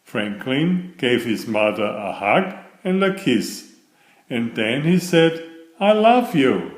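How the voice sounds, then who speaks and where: A man's voice: mid-range voice, expressive, takes it slowly. A man narrating a children's picture book as a storyteller, reading aloud in a roomy, echoing space. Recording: roomy echo.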